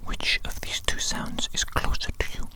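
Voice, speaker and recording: A person whispering in an ASMR medical roleplay as an eccentric, patronising doctor, giving deliberate, repetitive instructions and soft approval.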